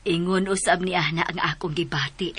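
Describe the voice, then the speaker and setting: A woman with a Cebuano Filipino accent acting a calm, hospitable widowed mother in a radio drama, polite and placating. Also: on the low side, normal speed, slightly rough. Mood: warm, teasing.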